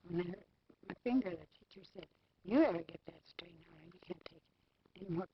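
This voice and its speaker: An actress playing a confused elderly patient who rambles, in a game's medical drama. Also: female voice, fairly low pitch, a little fast, natural voice. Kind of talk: acted medical drama dialogue. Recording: dull game video, faint noise.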